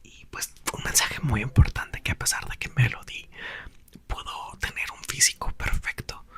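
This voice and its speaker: A young man reads a novel entirely in a hushed ASMR whisper. The breathy whisper has a very bright, crisp edge. Between passages he slips into casual, playful comments to his listeners. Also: fairly low pitch. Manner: soft whispered reading with playful chatty asides. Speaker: ASMR host reading aloud. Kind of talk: whispered ASMR book reading.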